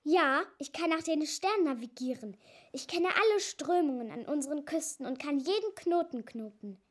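A bold adventurous schoolgirl in a kids audio drama, high voice, cheeky and pushy. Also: expressive, normal speed. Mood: cheeky, determined. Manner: cheeky, insistent. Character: bold, adventurous schoolgirl longing to sail with pirates. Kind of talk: children's radio play acting.

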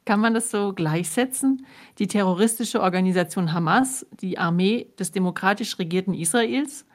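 A woman's voice: medium pitch, moderate pace. A woman from Germany, co-hosting and narrating a scripted radio feature. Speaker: co-host of a radio programme.

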